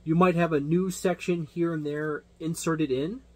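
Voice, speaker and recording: A man's voice: fairly high pitch, fairly even intonation, normal speed. A man giving an unscripted product overview as a tabletop game reviewer, explanatory and rambling, often rephrasing himself.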